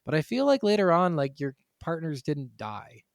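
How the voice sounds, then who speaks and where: A man's voice: a little high, very animated, normal speed, no echo, clean studio sound. A man co-hosting a podcast, talking about video games in an informal, conversational way with some swearing. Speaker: podcast co-host. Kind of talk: casual gaming chat.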